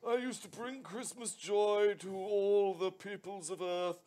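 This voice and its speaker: A man's voice: fairly even intonation, a little slow, darkish tone. A man voicing a jolly, pompous old Father Christmas in a live radio play reading. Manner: booming theatrical delivery with ho ho ho. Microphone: headset.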